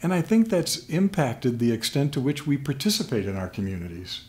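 An older American man speaks as an expert guest in an interview, at a mid-range pitch. He explains in measured phrases with small pauses, into a desk microphone in a small room. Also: very animated, a little mellow, slight background noise. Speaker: guest expert, sports sociologist. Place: small plain room at a table, indoors. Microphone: desk microphone on stand.

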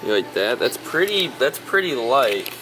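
A man's voice: medium pitch, big pitch swings, moderate pace, music in the background. An American man in his twenties chatting casually to the camera like a vlogger, with slangy, bro-ish asides to his viewers. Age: 20s. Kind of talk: casual vlog chat to camera. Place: car, large warehouse stores, parking lot. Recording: noisy car and big-box store ambience.

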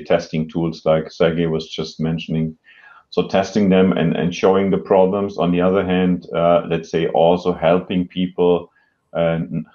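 A middle-aged man speaking as an expert panelist on a video call, explaining earnestly and haltingly with repeated words. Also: low voice, warm tone. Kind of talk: panel discussion, conversational explanation. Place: room indoors, video call. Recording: dull video-call audio.

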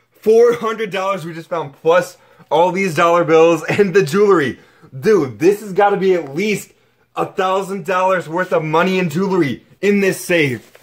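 A young man narrating his own exploration vlog, excitedly exclaiming over what he finds while filming up close with a handheld camera. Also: a little high. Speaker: exploration vlogger.

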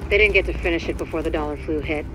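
A woman's voice: deep voice, racing, noisy background, close to an everyday voice. A woman playing a composed, dryly wry commander who gives businesslike mission briefings in a video game. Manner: businesslike, dry.

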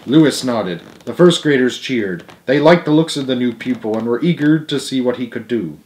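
A young man with a mid-pitched voice narrates a children's book. He is an amateur reader, sometimes tripping on a word and fixing it. He reads in a living room. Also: animated, normal speed, a little mellow, rough recording. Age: young adult. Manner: stumbles over words and corrects himself. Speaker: storyteller reading a children's novel aloud. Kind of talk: amateur read-aloud narration. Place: living room at home, indoors.

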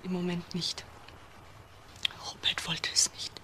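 A woman's voice: on the low side, monotone, takes it slowly, bright, forceful tone, in a whisper. An actress playing a shaken, reticent twenty-year-old woman in a crime radio drama, giving subdued, terse answers. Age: young adult.

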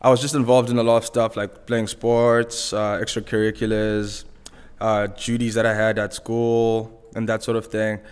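A man in his twenties with a Zimbabwean accent, a podcast guest telling stories in a laid-back, unhurried way. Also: lowish voice, level tone, clear, resonant tone, long reverb tail. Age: 20s. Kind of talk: casual interview storytelling. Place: small studio room with acoustic foam. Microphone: studio mic on boom arm.

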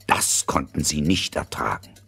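A man tells a fairy tale in a very raspy, gravelly voice. He is low-pitched and expressive, rising and falling freely as he narrates. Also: measured pace, soft background music. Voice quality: gravelly, husky.

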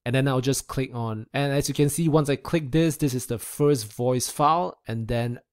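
Young adult man, casual software reviewer, conversational walk-through delivery, close-miked at a desk. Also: mid-range voice, moderate pace, smooth. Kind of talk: casual screen-recorded review and demo. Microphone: close desk microphone.

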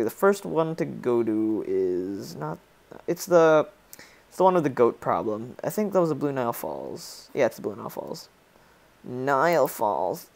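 A man's voice: mid-range voice, creaky, a bit bright. A teenage boy from Poland with a Polish accent, joking and rambling as he plays a game and comments. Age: teens. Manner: joking, rambling, reads game lines in put-on voices. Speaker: gaming YouTuber. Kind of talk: casual let's play commentary.

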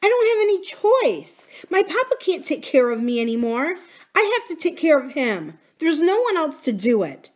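A woman's voice: on the high side, sing-song, moderate pace, hardly any pauses, pitched above the performer's own voice. A woman voicing a stubborn, hurt young girl who speaks intensely and defiantly in an audiobook story. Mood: distressed, resolute. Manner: intense, emphatic.

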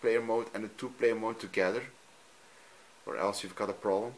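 Belgian man with a Dutch accent, halting. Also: fairly low pitch, steady tone, slight background noise, rough recording. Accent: Dutch-speaking Belgian. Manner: halting, frequent ums, simple non-native phrasing. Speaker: hobbyist youtuber. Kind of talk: off-camera screen demo. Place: room at home, filming a TV screen.

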